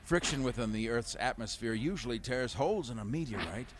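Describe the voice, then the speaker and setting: A man playing a professor who explains in a measured, careful way. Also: mid-range voice, expressive, noisy background, lightly acted. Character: calm scientist professor.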